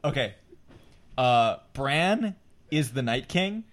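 A man co-hosting a podcast, bantering casually like a fan in an excitable, emphatic, sometimes profane way. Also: medium pitch, takes it slowly, slight background noise. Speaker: podcast co-host.

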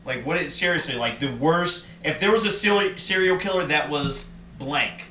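A man's voice: a little high, moderate pace, bright, forceful tone. A man co-hosting a casual podcast, joking around and swearing freely in a rambling way. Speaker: podcast co-host. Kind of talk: casual chat. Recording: echoey room, mic at a distance, faint hiss.

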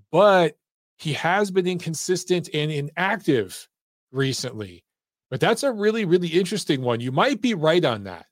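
Male call-in show host, slightly high voice, lively and profane, close dry mic. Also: no room sound. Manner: animated, swearing, laughs along with callers. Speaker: boxing talk show host. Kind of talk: casual live call-in chat.

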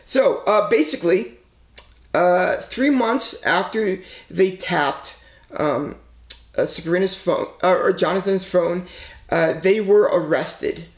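Male podcast co-host, gravelly, rambling filler-heavy storyteller, swears, echoey room. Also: high voice, slow. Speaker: podcast co-host telling a true-crime case. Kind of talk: casual true-crime podcast chat.

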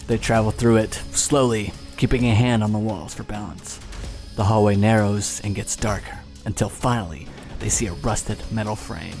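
A man narrating a tabletop game as game master, steady and descriptive with casual asides. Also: lowish voice, moderate pace, faint music behind.

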